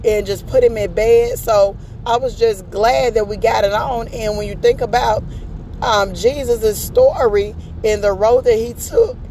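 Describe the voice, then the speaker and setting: Hoarse woman telling a faith story. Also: on the high side, measured pace, mellow, slight background noise, low-quality recording. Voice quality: raspy. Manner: earnest, storytelling, faith-filled. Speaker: podcast speaker. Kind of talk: personal testimony addressed to an aunt.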